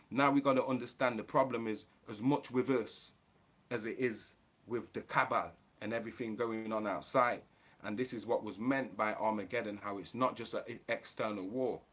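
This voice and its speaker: A man ranting on a live stream, in a profane, preachy, fired-up way. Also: mid-range voice.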